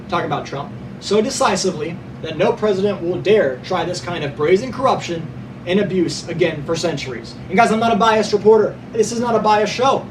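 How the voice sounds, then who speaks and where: A young male podcast host reading prepared news notes, sometimes stumbling and correcting himself, with casual asides to listeners. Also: high-pitched. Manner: reads from notes, stumbles and corrects himself, stresses being unbiased. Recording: distant mic with clear background noise.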